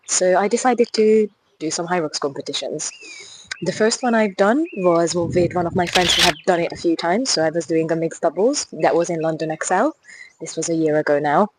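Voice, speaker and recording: A woman's voice: lowish voice, a little hiss or hum, rough recording. A young woman from Hungary with a Hungarian accent, chatting enthusiastically to camera as she walks along a river path. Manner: chatty and enthusiastic, with restarts and light laughs. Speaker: fitness ambassador. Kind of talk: casual vlog while walking.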